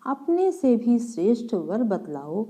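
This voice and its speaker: A woman's voice: a little high, sing-song, slow pace, mellow. A woman voicing a dignified male sage and devoted father who speaks formally in a storybook tale. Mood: assured.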